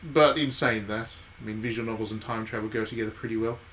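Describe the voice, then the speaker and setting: A man's voice: on the low side, steady tone, fast, a bit bright, slight background noise. A man hosting a game stream, giving casual live commentary and thinking aloud in conversational asides.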